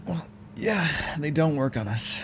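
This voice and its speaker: A man's voice: fairly high pitch, animated, measured pace, slight background noise. A man voicing a sarcastic, foul-mouthed vampire who is grudgingly helpful and hungry, speaking intimately to the listener in an audio roleplay. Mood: dry, grumbling. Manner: sarcastic, wry, exasperated, conversational. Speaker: roleplay voice actor.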